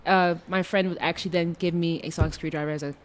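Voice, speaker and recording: A woman's voice: fairly low pitch, steady tone, fast, heavy background noise. A woman chatting casually as a player on a podcast, talking in a hesitant, rambling way. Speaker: role-playing podcast player. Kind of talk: casual chat.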